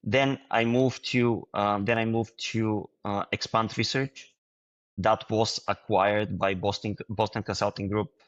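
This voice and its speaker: A man from Bulgaria, a startup founder answering interview questions in a halting way, with frequent restarts and fillers. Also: fairly low pitch, flat delivery, no room sound, dull audio.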